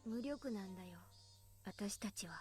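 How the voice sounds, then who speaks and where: A woman's voice: mid-range voice, brisk, darkish tone, soft background music, close to an everyday voice. A woman playing a downhearted, apologetic teenage schoolgirl in an anime visual novel, speaking in a subdued way. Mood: dejected. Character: contrite, downhearted teenage girl classmate.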